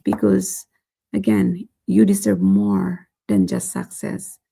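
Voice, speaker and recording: A woman vlogger giving a motivational talk to her viewers, earnest and repeating "right?", her voice going hoarse. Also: medium pitch, little pitch movement, slow, warm tone. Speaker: vlogger hosting a daily challenge.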